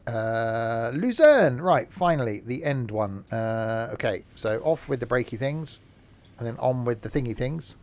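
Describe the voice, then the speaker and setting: A man's voice: medium pitch, animated, measured pace, a little mellow, a little hiss or hum. A man, chatting casually and rambling with fillers while live-streaming a game.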